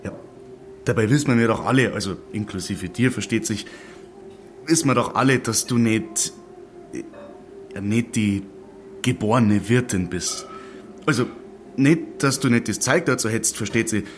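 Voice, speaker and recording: A man's voice: mid-range voice, normal speed, long pauses, slight background noise, natural voice. A man playing a scheming, patronising village dignitary in an audio drama, hedging and cajoling in Bavarian dialect.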